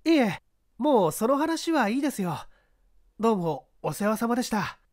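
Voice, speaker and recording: A woman voicing a polite, reserved young male baseball pitcher who answers evasively, in a story reading. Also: big pitch swings, normal speed, darkish tone.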